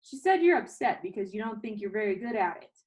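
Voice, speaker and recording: A woman reading aloud the part of a kindly but firm old grandfather in a storybook, plain-spoken and gently insistent. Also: mid-range voice, expressive, a little fast, close to an everyday voice. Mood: casual, gentle.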